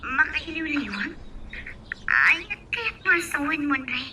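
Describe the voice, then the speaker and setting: A woman's voice: high voice, very animated, slow, very noisy, exaggerated voice. An actress playing a lovelorn, concerned young woman in a radio drama. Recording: clear music behind the voice.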